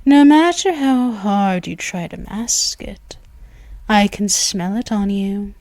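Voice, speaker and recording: Woman voicing a slow, purring vampire mistress. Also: medium pitch, dry recording. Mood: seductive. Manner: soft, hushed and drawn-out, often whispering; commanding and purring. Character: dominant predatory female vampire, commanding and possessive. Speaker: ASMR roleplay performer. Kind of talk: ASMR roleplay. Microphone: close mic, intimate.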